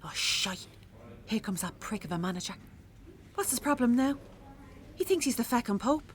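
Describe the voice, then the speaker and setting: A woman with an Irish accent playing a weary, sharp-tongued working-class mother in a radio drama, wry and blunt. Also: on the high side, lively intonation, moderate pace, lightly acted. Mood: irritated. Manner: wry, blunt, earthy talk. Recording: clearly noisy, traffic and ambience behind.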